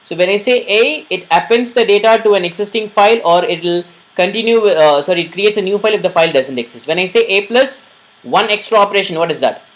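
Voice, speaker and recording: A man's voice: high-pitched, fast, fairly bright tone, rough recording. A male instructor talks through a beginner programming lesson in a live online session. He goes over each point more than once and stops often to ask whether his listeners are following.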